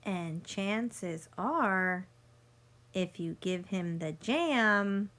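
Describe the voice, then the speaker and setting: A woman reading a picture book aloud like a teacher, speaking deliberately to young listeners and explaining as she goes. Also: mid-range voice, animated, a bit bright. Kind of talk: read-aloud storytelling with teaching asides.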